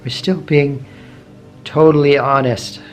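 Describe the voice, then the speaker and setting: A man leading a guided meditation in a gentle, measured way, pausing often between short phrases. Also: medium pitch, fairly even intonation. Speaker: meditation teacher. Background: soft meditation music.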